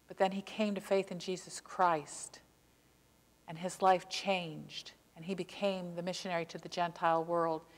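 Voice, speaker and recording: A woman's voice: lowish voice, little pitch movement. Older woman preaching in a reverberant sanctuary. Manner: earnest, impassioned preaching with slow emphatic stresses. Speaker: pastor. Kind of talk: sermon. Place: church sanctuary, behind a pulpit. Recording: long church reverb.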